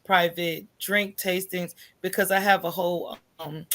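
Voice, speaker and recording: A woman's voice: lowish voice, a little slow. A middle-aged American woman talking casually as an interview guest, acting out little asides and often checking in with "right?". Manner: animated storytelling, acts out quoted thoughts, often tags phrases with "right?". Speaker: interview guest, coffee shop owner.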